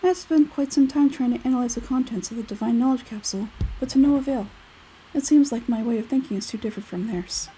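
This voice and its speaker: A woman voicing an aloof young male scholar in a video game, speaking in a measured, detached, analytical way. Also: fairly high pitch, warm tone, a little hiss or hum, lightly acted, rough recording. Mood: cool, disapproving. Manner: measured, detached, matter-of-fact. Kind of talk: video game character dialogue read aloud.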